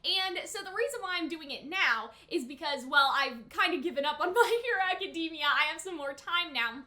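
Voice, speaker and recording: A woman's voice: sits high, expressive, fairly quick, bright, forceful tone. A young woman hosting anime reactions, talking in a casual, chatty way and peppering her sentences with "like". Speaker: anime reaction and review host. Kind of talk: casual chat and reaction commentary.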